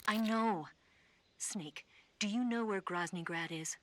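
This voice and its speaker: A woman's voice: medium pitch, takes it slowly, dry recording, lightly acted. An actress playing a cool, confident female spy giving a briefing in a video game, assured and matter-of-fact. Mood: cool, urgent. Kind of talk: video game radio-call dialogue acting.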